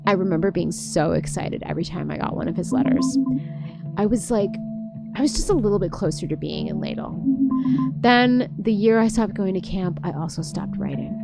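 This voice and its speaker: A woman streaming a game, reading a visual novel aloud and reacting to it in a playful, expressive way. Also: medium pitch.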